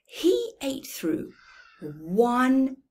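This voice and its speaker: Very slow, high-voiced woman storyteller. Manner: expressive, deliberate, counting aloud with dramatic pauses and hushed emphasis. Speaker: storyteller reading to young children. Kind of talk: slow children's picture-book read-aloud. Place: indoors in a room at home.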